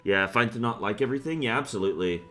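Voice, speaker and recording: A young man talks to his stream chat in a bright, forward voice, rambling through his thoughts with lots of "like" and "um." He gets worked up when he rants. A faint bit of music sits under his voice. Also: medium pitch, animated, quick pace. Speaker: game streamer. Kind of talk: casual live-stream chat.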